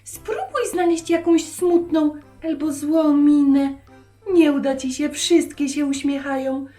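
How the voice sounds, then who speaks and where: Woman playing a high-voiced, gentle grandmother. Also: unhurried. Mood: cheerful teaching. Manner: gentle, instructive storytelling. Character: kindly old grandmother teaching her granddaughter. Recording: roomy echo, music behind.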